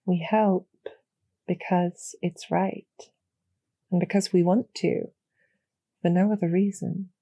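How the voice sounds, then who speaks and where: Female performer as demon general, audio roleplay, kind, composed, formal, unhurried delivery, soft dark tone, deliberate pauses. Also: on the low side, fairly even intonation, no room sound. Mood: earnest, calm. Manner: measured, gently persuasive, formal, deliberate pauses. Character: kind, calm demon general, queen's loyal intermediary. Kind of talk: scripted roleplay audio drama.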